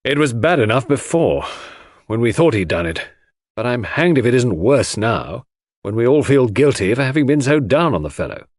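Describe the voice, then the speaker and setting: A man voicing a frank, honest country gentleman in an audiobook, confiding bluntly and with remorse. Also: mid-range voice, big pitch swings, normal speed, dry recording, clean studio sound, pitched above the performer's own voice. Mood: relieved, guilty.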